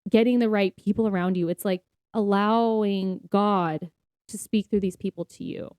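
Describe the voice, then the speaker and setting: A woman's voice: mid-range voice, expressive, normal speed, a little mellow, dry recording, clean studio sound. A woman co-hosting a casual faith podcast, talking in an earnest, encouraging way. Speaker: podcast co-host.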